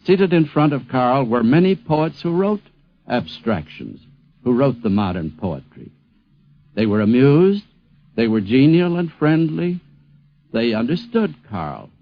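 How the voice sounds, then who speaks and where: A man's voice: mid-range voice, very animated, unhurried. A man speaking emphatically and repeating words for effect as he reminisces and explains, on a 1950s radio programme. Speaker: interviewee recalling a poet friend. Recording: dull 1950s radio recording.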